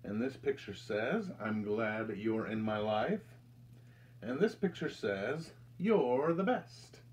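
An American man in his thirties reads a picture book aloud to children with deliberate, expressive storytelling delivery. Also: lowish voice. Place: indoors, small room with curtain backdrop.

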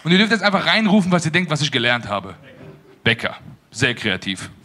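A man's voice: a little high, brisk. A young man from an Afghan family in Germany performing a stand-up set on a small stage with a handheld mic, acting out little scenes. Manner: animated, acts out dialogues with put-on voices. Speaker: stand-up comedian. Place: small stage with living-room set before a live audience.

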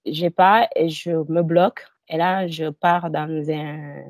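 A woman's voice: low voice, steady tone, takes it slowly, no room sound. Hesitant woman on a video call. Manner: hesitant, many fillers and restarts. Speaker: hypnosis client. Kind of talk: telling her personal story.

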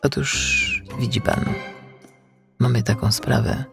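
Man voicing a cheeky, swooping, gravelly tern. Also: mid-range voice, wildly swooping pitch, takes it slowly, music in the background, exaggerated voice. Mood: pressing, conspiratorial. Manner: slangy, dramatic, suspicious questioning. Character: cheeky, conspiracy-loving male tern, streetwise and suspicious.

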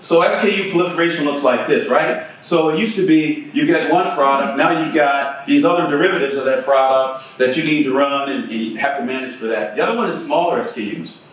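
Guy doing a keynote, chatty, keeps asking the room stuff, kinda high voice, sounds far off. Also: a little fast, few pauses. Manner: conversational, asks audience questions. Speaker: keynote speaker. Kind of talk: conference keynote talk. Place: conference room with projector screen. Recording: echoey room, from a distance.

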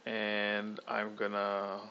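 A young man talks slowly through a screen-recorded software tutorial, thinking aloud and restarting his sentences. His voice is gravelly and bright with a lowish pitch. The audio is slightly dull and lo-fi, as from a desktop mic. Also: completely flat delivery, a little hiss or hum. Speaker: tutorial host.

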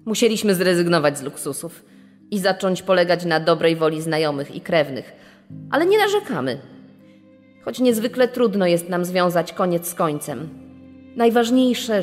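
A woman acts out a plaintive, resigned wife for a true crime podcast. Her formal, slightly low voice rings with long reverb over music. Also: animated, measured pace. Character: aggrieved, resigned wife from a scandal-hit family.

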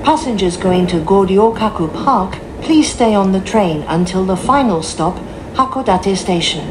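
Slow, formal woman announcing on a train. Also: medium pitch, expressive. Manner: formal, polite, carefully phrased. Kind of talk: scripted English onboard announcement. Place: inside a train, over the announcement system. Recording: noisy train cabin recording.

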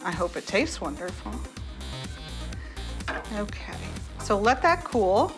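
A woman's voice: medium pitch, sing-song, slow. A middle-aged woman hosting a cooking show, explaining each step in a home kitchen. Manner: explanatory, friendly instruction. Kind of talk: cooking demonstration. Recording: music bed underneath.

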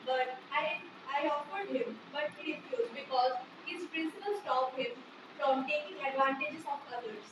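A woman's voice: high voice, normal speed, highs cut off, noisy background, natural voice. A young woman with an Indian accent playing an earnest doctor in an amateur student play, in an echoey room. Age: young adult. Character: reassuring, earnest female doctor. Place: living room indoors. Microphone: phone camera across the room. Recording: echoey room, far from phone, music behind.

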